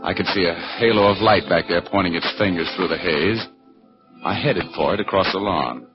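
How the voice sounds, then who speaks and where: A hard-boiled American private eye played by a man, wry and animated, in mid-range pitch. It is 1940s radio acting, muffled, with music behind the voice. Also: normal speed, lightly acted. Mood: wry narration. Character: wisecracking hard-boiled male private detective, narrating his case. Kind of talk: 1940s radio acting, first-person narration.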